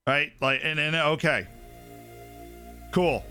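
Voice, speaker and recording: A man's voice: a little high, slow pace, bright, forceful tone, clean tone, faint music behind. A young man streaming live, chatting casually and opinionatedly about football, with lots of fillers and asides.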